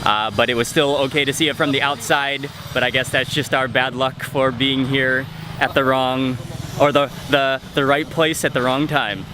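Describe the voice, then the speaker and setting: A man casually narrating a travel vlog while walking outdoors, relaxed and chatty with fillers. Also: mid-range voice, moderate pace. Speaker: travel vlogger. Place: outdoors on city streets. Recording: music bed and traffic behind.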